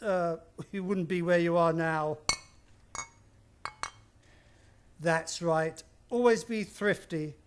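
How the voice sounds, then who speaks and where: An actor playing a miserly, menacing older stepfather in a suspense radio play, speaking with deliberate mockery. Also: sits high. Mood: mocking, gloating. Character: sinister, miserly, taunting wealthy stepfather, older man.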